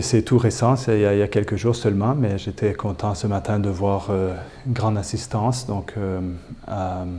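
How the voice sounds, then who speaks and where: Hesitant low-voiced Canadian man. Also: mellow, slight background noise. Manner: hesitant, many fillers and restarts. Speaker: bishop. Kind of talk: interview answers. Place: chapel.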